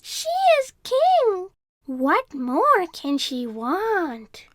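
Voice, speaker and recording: A woman voicing a magical sea turtle in a storybook, with a drawn-out, stately delivery. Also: very high voice, huge pitch swings, a bit bright, no echo, studio quality, pitched above the performer's own voice, heavily acted. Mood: questioning. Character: magic sea turtle, slow and calm, granting wishes. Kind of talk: storybook read-aloud character voice.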